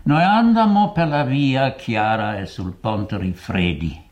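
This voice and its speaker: A man's voice: fairly low pitch, unhurried, darkish tone, lightly acted. An actor playing a courteous man who translates an old manuscript aloud in a radio drama, precise and deferential. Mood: attentive, courteous. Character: polite male translator reading an old Italian manuscript aloud. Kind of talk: radio drama, reading and summarizing.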